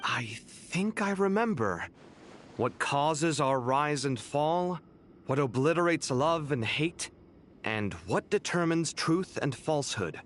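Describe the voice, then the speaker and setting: A man's voice: fairly high pitch, expressive, takes it slowly, a little hiss or hum. A man playing an eager, confident young researcher in a video game, articulate and enthusiastic. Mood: recalling.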